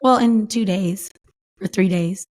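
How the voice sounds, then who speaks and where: A woman hosting a casual podcast with a hoarse voice, giving brief, easygoing replies. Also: medium pitch, unhurried, a little mellow. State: lost voice, hoarse. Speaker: podcast host. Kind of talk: casual chat.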